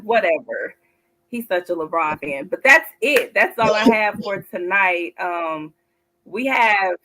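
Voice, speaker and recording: A woman co-hosting a casual sports talk show, chatty and quick to laugh. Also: fairly low pitch, a bit bright, rough recording. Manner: chatty, laughs often, drawn-out asides. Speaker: co-host.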